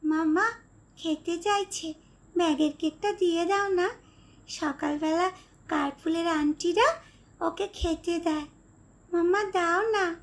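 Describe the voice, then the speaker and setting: A woman's voice: extremely high pitch, takes it slowly, long pauses, pitched above the performer's own voice, exaggerated voice. A woman voicing a kind little schoolgirl in a dramatized story, coaxing her mother in a childlike way. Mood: pleading.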